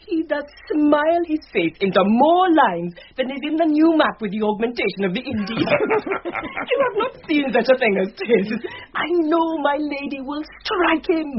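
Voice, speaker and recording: An actress playing a gleeful, scheming maidservant in classic radio Shakespeare style, gossipy and emphatic. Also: female voice, high voice, big pitch swings, normal speed. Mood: gleeful mischief. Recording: dull, faintly noisy old radio broadcast.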